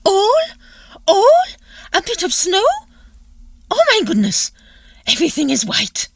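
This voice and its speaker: A woman playing an excitable, grand Victorian opera lady in a farcical audio drama, with a dramatic, exclaiming manner. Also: very high voice, unhurried, no room sound, studio quality, exaggerated voice. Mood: alarmed. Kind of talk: audio drama farce acting.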